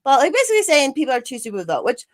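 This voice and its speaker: A woman's voice: high voice, big pitch swings, rapid-fire. A woman hosting a casual livestream chat, rambling and swearing freely, laughing often. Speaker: podcast host, former city council candidate.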